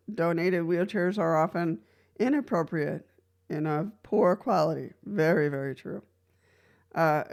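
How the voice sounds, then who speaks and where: A woman's voice: on the low side, a little mellow. A woman from Costa Rica talking casually to camera, giving practical advice from her own experience. She laughs lightly between points and often restarts with "so". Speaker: wheelchair user giving advice. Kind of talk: casual explanatory vlog talk.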